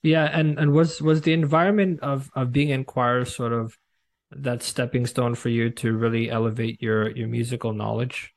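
A man hosting an interview podcast, speaking conversationally in a casual, somewhat halting way. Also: medium pitch, level tone, gravelly.

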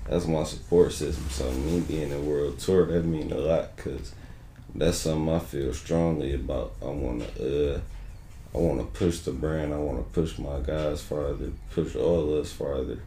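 Man, young adult, very low mellow voice, loose casual talk, roomy echo with faint hiss. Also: fairly even intonation, moderate pace. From Detroit, USA. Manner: laid-back, loose slang with frequent "you know what I'm saying". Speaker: rapper guest. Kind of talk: casual interview chat.

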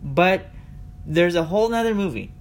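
A man's voice: animated, normal speed. A man hosting a casual solo podcast, speaking conversationally and addressing his listeners directly. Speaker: podcast host. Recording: noisy with low background rumble.

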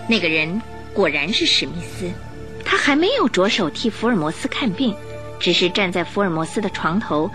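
Expressive woman narrating radio drama over music. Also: medium pitch. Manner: suspenseful, expressive storytelling.

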